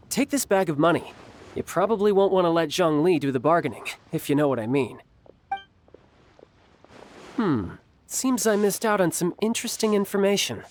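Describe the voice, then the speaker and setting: A man's voice: high voice, a little hiss or hum. An actor playing a sly young man in a video game, speaking in a wry, casual, playful way.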